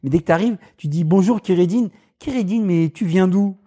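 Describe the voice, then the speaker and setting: A man with a Paris suburban accent tells anecdotes in an easygoing chat. His voice is mellow and dark. He acts out other people's lines and laughs along as he goes. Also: sits high, a little fast, slightly rough. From Paris suburbs, France. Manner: animated, mimics voices in anecdotes, laughs often. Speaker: guest. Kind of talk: casual storytelling.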